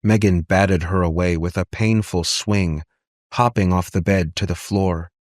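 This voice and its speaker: A man with a deep voice reads a dramatic story. He takes his time, measured and deliberate with each phrase. The recording is dry, with no room around the voice. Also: fairly even intonation, no room sound. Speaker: audiobook narrator. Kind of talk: scripted dramatic story narration.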